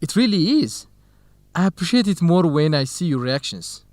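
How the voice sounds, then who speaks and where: Animated, earnest young man. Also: on the high side, moderate pace, dry recording. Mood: amazed, grateful. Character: earnest, modest young Ethiopian male research partner and interpreter. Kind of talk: educational radio drama acting.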